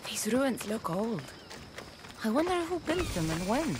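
A woman's voice: a little high, very animated, measured pace, noisy background. An actress playing a curious young warrior woman who thinks aloud while exploring ruins. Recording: game audio with loud music. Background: game music.

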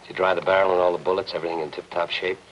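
A man's voice: low voice, level tone, rapid-fire, a bit bright, lo-fi sound. An actor in his forties playing a persistent, world-weary city reporter in a 1950s noir television drama, dry and sardonic. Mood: dry, composed. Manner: dry, sardonic, hard-boiled. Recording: noisy 1950s film soundtrack.

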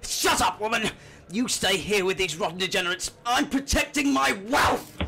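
A man's voice: sits high, lively intonation, moderate pace, gravelly, background noise. A man playing an arrogant, selfish rich husband in a radio drama, pompous and domineering. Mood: furious.

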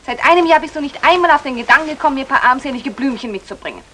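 An actress playing a discontented, pleading young wife in a vintage German crime radio drama. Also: female voice, high-pitched, animated, rapid-fire, bright, forceful tone. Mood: reproachful. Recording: vintage radio drama, clicks, faint noise.

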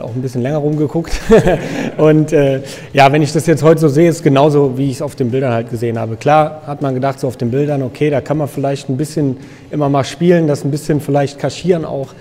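A man in his thirties from Germany, a guest in an interview, talking casually with many fillers and pauses. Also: mid-range voice, warm tone, long reverb tail. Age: 30s. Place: photo studio with grey backdrop.

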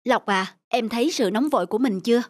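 A woman voicing a gentle, serious schoolteacher in a Vietnamese cartoon, admonishing softly and in a measured way. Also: fairly high pitch, dry recording, lightly acted. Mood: gently reproachful. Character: gentle, serious female schoolteacher, concerned and fair.

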